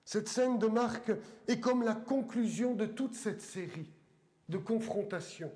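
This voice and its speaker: High-voiced French preacher, eastern accent. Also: male voice, unhurried, a little mellow. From eastern France. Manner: didactic, conversational asides to congregation. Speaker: pastor. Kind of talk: sermon with scripture reading.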